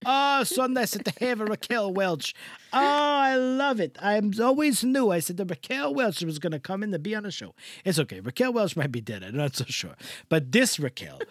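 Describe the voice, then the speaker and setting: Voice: mid-range voice, very animated, normal speed, no echo, studio quality, lightly acted. Someone doing a gushing, swooping old man. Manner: broken English, theatrical swoops up and down, bursts of laughter. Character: older male Italian guest Mister Del Rossi, boastful, gushing, scatterbrained. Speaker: recurring character guest. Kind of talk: improvised comedy bit.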